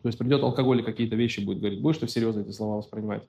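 A man's voice: on the low side, steady tone, racing, creaky, warm tone, lo-fi sound. A man giving a religious lecture, reading out Arabic passages and then explaining them plainly in his own words. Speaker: religious teacher.

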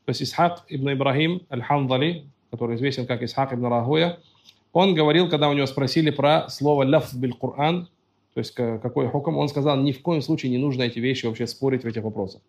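A man's voice: mid-range voice, fast. A man giving a religious lecture, reading out Arabic passages and then explaining them plainly in his own words. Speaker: religious teacher.

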